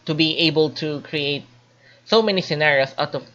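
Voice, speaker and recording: A woman giving an informal spoken game review in a hesitant, halting, explanatory way. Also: low voice, fairly bright tone, muffled recording. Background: light background music.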